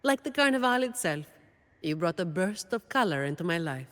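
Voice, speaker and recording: Game role: weary young female office troubleshooter, lowish pitch, dry deadpan delivery, reverberant sound. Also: normal speed. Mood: weary, sincere. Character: weary, dutiful young woman, overworked office employee and troubleshooter. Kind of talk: scripted game character monologue.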